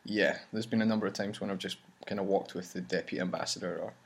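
Campaign host, male, husky and low, rambling chatty delivery. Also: fairly even intonation, fast talker. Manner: rambling, chatty, many restarts. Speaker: campaign leader and vlog host. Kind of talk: casual chat. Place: traveling campaign van.

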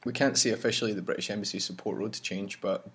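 Campaign host, male, husky and low, rambling chatty delivery. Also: quick pace, fairly bright tone. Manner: rambling, chatty, many restarts. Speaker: campaign leader and vlog host. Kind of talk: casual chat. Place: traveling campaign van.

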